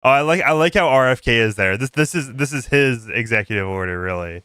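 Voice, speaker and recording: Bright-voiced man, halting streamer. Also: mid-range voice, expressive, clean tone, dry recording. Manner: halting, repeats words and breaks off mid-sentence. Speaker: livestream host. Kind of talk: casual reaction stream commentary.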